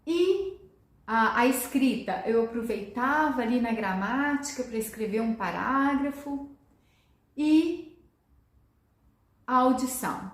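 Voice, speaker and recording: A middle-aged woman teaching Portuguese in a relaxed online lesson, explaining step by step and often checking in with a quick "né?" or asking questions and answering them herself. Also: a little high, roomy sound.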